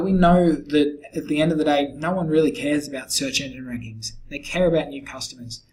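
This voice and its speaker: Croaky-voiced man walking through a pitch. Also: slight background noise, rough recording. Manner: conversational, explanatory, frequent fillers and restarts. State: croaky, hoarse voice. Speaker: SEO agency founder pitching to a client. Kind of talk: screen-recorded website review and sales pitch.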